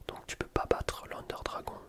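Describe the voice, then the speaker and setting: A man commentating on gameplay in a breathy, unvoiced ASMR whisper, informal and explanatory. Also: moderate pace, fairly bright tone. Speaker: gaming video creator. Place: voice-over recorded separately from gameplay.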